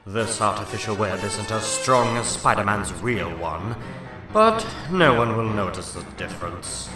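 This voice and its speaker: Guy doing a smug scheming comic villain, slow swoopy delivery, loud music under it. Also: fairly low pitch, animated, reverberant. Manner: scheming, self-satisfied. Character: sly, gloating male comic-book villain, master of disguise. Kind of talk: dramatic comic-book reading.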